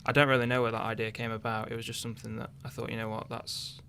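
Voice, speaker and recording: A man's voice: medium pitch, flat delivery, quick pace, gravelly, background noise. A young man chatting casually in a radio interview, thinking aloud hesitantly. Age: young adult. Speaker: interviewed radio drama writer.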